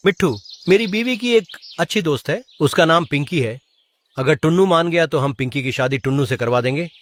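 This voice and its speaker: A man's voice: fairly high pitch, animated, fairly quick, natural voice. A man voicing a sensible, well-meaning male pigeon in a cartoon, earnest and advising. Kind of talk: animated story dialogue.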